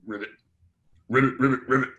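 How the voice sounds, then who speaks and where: A man's voice: medium pitch, steady tone, fairly quick, a bit bright, muffled recording, natural voice. A man at a table read playing a cocky, crude young actor who taunts people and talks himself up. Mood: mocking. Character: cocky, mocking hot-shot young male actor in trouble.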